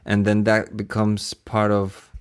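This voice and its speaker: Guy with a fairly low voice, casual chat, stumbles and repeats words a lot. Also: completely flat delivery, slow, smooth. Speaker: sound designer and composer.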